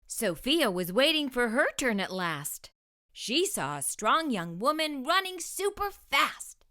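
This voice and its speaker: A woman narrates a storybook for children as a read-aloud host. Her voice is high and very animated. She speaks slowly and carefully, with expressive delivery.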